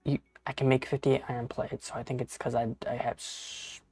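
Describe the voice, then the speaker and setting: A man in his thirties streams a game and chats with his viewers in a loose, rambling way, peppering his talk with bro and the odd curse. His voice sits at an ordinary pitch and is recorded close and dry. Faint music plays underneath. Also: flat delivery, brisk, a little mellow, no echo. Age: 30s. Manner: rambling, casual, swears, talks to chat. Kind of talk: casual live-stream chat while gaming.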